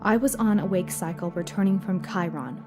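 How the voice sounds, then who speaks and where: A woman playing a matter-of-fact space freighter captain, giving a measured official report in a scripted audio drama. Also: fairly low pitch, over music, close to an everyday voice. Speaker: narrator of a fiction podcast. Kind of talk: scripted first-person audio drama narration.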